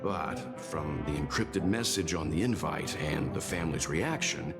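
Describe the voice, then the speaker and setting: A male actor playing a composed, analytical investigator in a sci-fi game, speaking in a deliberate, formal, articulate way. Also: very deep voice. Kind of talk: dramatic game dialogue, measured exposition. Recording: loud music behind. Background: game music.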